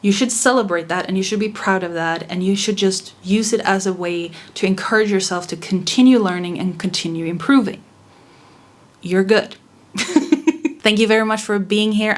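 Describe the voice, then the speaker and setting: A young woman with a Swedish accent talks straight to camera in a relaxed vlog about writing. She is candid and chatty, laughs easily and swears casually. Her voice sits at an ordinary middle pitch for a woman. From Sweden. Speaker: writing vlogger.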